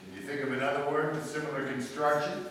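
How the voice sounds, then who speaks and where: Man voicing a brisk confident detective, a bit high, long hall reverb. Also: fairly even intonation, fairly bright tone, slight background noise, close to an everyday voice. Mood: explaining. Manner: brisk, explaining, self-assured. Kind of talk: live old-time radio drama. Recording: echoey hall, mic heard from far.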